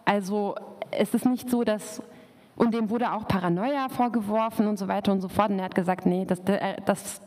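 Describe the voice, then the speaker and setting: A woman's voice: medium pitch, steady tone, moderate pace, darkish tone. A young woman whose first language is Russian, giving a talk and answering in discussion, thoughtful with many fillers and restarts. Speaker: lecturer. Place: dark event hall with stage. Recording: long hall reverb.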